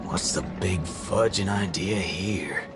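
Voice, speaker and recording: A man playing a swaggering, gruff cowboy gunslinger in a video game, with a cocky drawl and folksy western slang. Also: low-pitched, takes it slowly. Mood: irritated, defiant. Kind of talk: video game character acting. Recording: loud game music behind.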